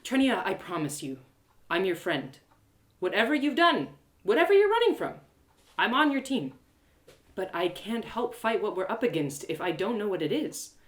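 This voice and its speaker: Casting: woman as young female adventurer, fantasy audio drama. Insistent, sarcastic, very lively intonation. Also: medium pitch, normal speed, lightly acted. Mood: earnest. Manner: insistent, colloquial, exasperated. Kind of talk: first-person fantasy audio drama reading.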